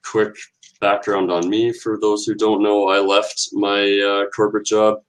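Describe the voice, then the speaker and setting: A man from Canada speaking casually as a meetup participant, introducing himself and sharing advice in a measured way with pauses. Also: lowish voice, level tone. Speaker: meetup participant, aspiring coach.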